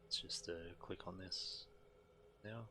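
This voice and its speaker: A man giving casual livestream game commentary, halting as he thinks aloud. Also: sits low, fairly even intonation, bright, forceful tone, slight background noise. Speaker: game streamer.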